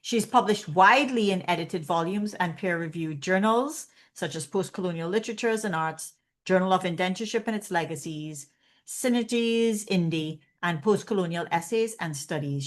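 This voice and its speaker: Woman, seminar host, measured reading, lowish voice, online webinar. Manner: measured, reads bio lists. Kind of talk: formal introduction.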